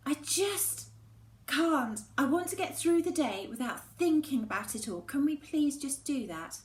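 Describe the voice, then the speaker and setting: A woman's voice: high-pitched, lively intonation, a little slow, voice pushed up. A woman voicing an upset, guarded schoolgirl in a storybook, pleading and exasperated. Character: upset, guarded schoolgirl, usually bubbly and sensible. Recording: echoey room, faint background noise.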